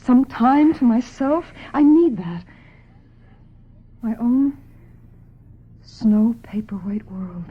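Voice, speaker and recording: A woman's voice: fairly high pitch, animated, slow, pauses often, breathy edge, full character voice. An actress playing a vulnerable, troubled young film actress in a radio mystery drama, hesitant and earnest as she protests. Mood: wistful. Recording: muffled, phone-like old radio sound.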